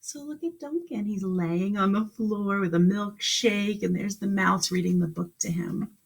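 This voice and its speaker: A woman's voice: mid-range voice, a little slow, gravelly, husky. A woman kindergarten teacher reading a storybook aloud to young children, expressively, with exclamations, questions and explanations along the way.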